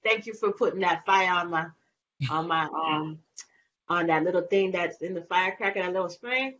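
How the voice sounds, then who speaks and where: A woman's voice: lowish voice, moderate pace. A woman singer, chatting animatedly as an interview guest and acting out little scenes. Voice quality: light voice. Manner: animated, laughing, acts out quotes. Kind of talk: casual interview chat. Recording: lo-fi with faint noise.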